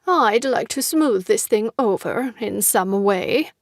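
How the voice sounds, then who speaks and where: An earnest storybook flatiron , voiced by a woman, pleads for peace. She speaks slowly and with measured care, in a mid-pitched, very dry voice. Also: no echo. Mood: earnest pleading. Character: earnest, conciliatory living flatiron.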